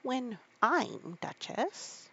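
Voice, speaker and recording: A woman's voice: on the high side, very animated, drawn-out words, bright, forceful tone, breathy edge, muffled recording, pitched above the performer's own voice, full character voice. A woman voicing a polite, curious little girl in a storybook read-aloud. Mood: musing. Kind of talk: sleepy read-aloud of a children's classic.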